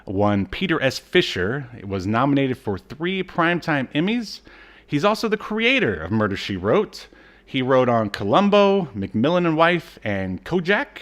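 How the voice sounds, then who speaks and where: A man's voice: medium pitch, big pitch swings, a little slow, a bit bright. A man co-hosting a podcast, chatting casually and reading from notes with joking, wry asides. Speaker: podcast co-host.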